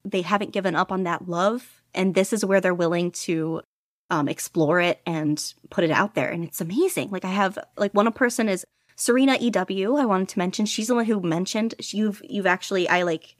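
A woman chatting as a podcast guest, gushing and laughing, her talk full of "like"s and quick restarts. Her voice sits at a middling pitch and sounds close and dry, with little room behind it. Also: no room sound. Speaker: guest podficcer. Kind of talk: casual interview chat.

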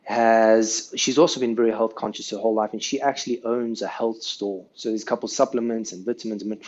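A man's voice: fairly low pitch, fairly even intonation, brisk, darkish tone, highs cut off, a little hiss or hum. A South African man, a podcast guest, telling his life story in a casual, earnest way and often ending sentences with "right?".

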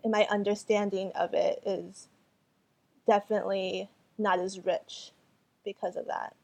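A woman's voice: medium pitch, flat delivery, measured pace, creaky, a little mellow. A young woman artist whose first language was Cantonese answers interview questions hesitantly, with many fillers and restarts. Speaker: artist and photographer.

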